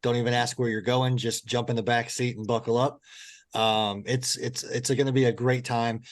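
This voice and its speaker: A man's voice: medium pitch, little pitch movement, normal speed. Upbeat man co-hosting podcast. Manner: upbeat, enthusiastic, motivational phrasing. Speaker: podcast co-host. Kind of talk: casual podcast chat.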